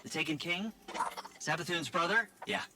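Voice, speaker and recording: An actor playing an eager, shifty little robot informant in a video game, stammering and repeating words excitably. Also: male voice, high voice, moderate pace, background noise, close to an everyday voice. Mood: excited, eager. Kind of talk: animated game dialogue.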